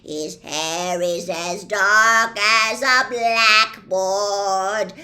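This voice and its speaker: A woman voicing a grim, pushy male dwarf messenger who barks orders and sings a verse loudly. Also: mid-range voice, very slow, exaggerated voice. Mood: sing-song. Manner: loud, barking delivery, breaks into sung verse.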